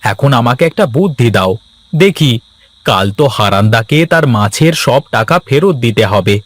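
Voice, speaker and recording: A man's voice: mid-range voice, expressive, moderate pace, dry recording, close to an everyday voice. Man acting a fretful, scheming village husband. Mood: eager. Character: scheming, worried village husband in debt, quick to scold. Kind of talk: Bengali cartoon voice acting.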